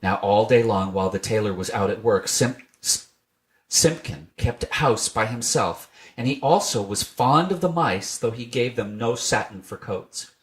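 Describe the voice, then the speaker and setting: A man's voice: fairly low pitch, unhurried. A man reading a children's tale aloud as scripted storybook narration. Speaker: storybook reader.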